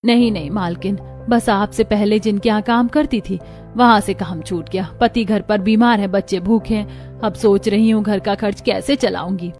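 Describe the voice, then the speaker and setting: A woman's voice: mid-range voice, moderate pace, darkish tone, soft background music, close to an everyday voice. A woman playing a poor, earnest mother who works as a housemaid, speaking respectfully and pleadingly in a moral story. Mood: worried, sorrowful. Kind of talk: dramatized Hindi moral story acting.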